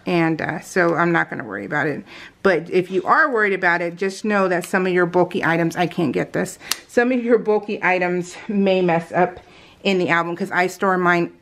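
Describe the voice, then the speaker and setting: A woman's voice: fairly low pitch, moderate pace, gravelly, fairly bright tone. A middle-aged woman chatting casually and giggly as she crafts, rambling in an unscripted, self-amused way like a hobby vlogger.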